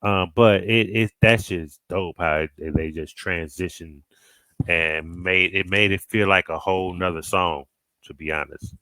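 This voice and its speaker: A man hosting a music reaction, chatting casually in slangy, halting phrases and searching for words. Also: low voice, steady tone, pushed and bright, dry recording. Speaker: music reaction video host. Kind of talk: casual, unscripted reaction chat.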